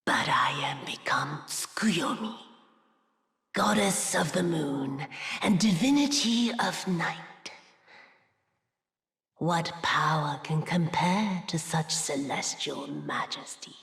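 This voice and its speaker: A woman's voice: low-pitched, lively intonation, gravelly, roomy sound, long reverb tail, heavily acted. A woman playing a vengeful, haughty moon goddess in a video game, cold and menacing, declaiming in a grand theatrical way. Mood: cold menace. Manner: grandiose, slow, dramatic declaiming, swelling into shouts.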